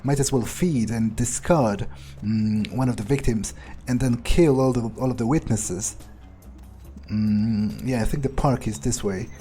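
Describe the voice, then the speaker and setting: A man roleplaying a cynical, amused, bloodthirsty vampire in a video game, husky, musing and sardonic in an improvised way. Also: mid-range voice, measured pace, mellow, faint music behind, lightly acted. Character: cynical, bloodthirsty, amused young male vampire, foul-mouthed.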